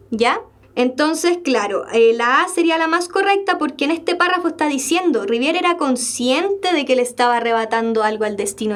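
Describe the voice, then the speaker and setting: A young Chilean woman teaching a live exam-prep class. She reads a text aloud, explains it, and keeps checking in with her students. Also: high voice. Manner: lively, checks in with students by asking "¿ya?" and "¿verdad?" again and again.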